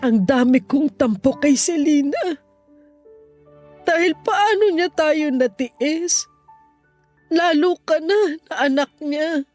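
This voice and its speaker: A woman playing a sick, tender grandmother of about sixty in a Tagalog radio drama, pleading gently and sorrowfully. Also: sits high, takes it slowly, pauses often, soft background music. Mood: tearful longing.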